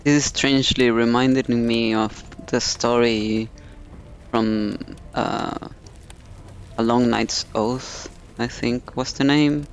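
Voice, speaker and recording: A man streaming a game, giving casual, halting, musing commentary. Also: mid-range voice, steady tone, a little hiss or hum. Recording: faint game music behind.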